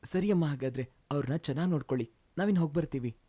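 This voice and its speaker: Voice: medium pitch, fast talker, dark tone, pitched below the performer's own voice, lightly acted. A narrator voicing an earnest, polite young male demon in human form for a story. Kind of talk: animated moral story dialogue.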